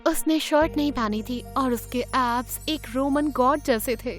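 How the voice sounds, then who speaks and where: A young woman playing an awkward, earnest teenage schoolgirl telling her own animated story in an expressive, conversational way. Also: high voice, unhurried. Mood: narrating, confiding. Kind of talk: dramatised first-person animated story narration. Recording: music behind the voice.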